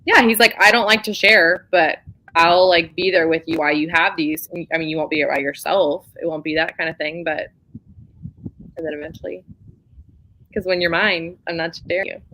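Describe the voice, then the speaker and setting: A woman's voice: on the low side, steady tone, normal speed, bright, forceful tone, slight background noise, rough recording. A woman chatting casually and informally about romance novels as a co-host of a book club show.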